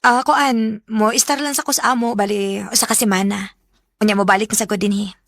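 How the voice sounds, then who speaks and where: A woman's voice: medium pitch, fairly even intonation, a little fast, a bit bright, dry recording, lightly acted. An actress playing an emotional young girlfriend in a Bisaya radio drama, with a Cebuano Filipino accent and a melodramatic delivery. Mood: subdued.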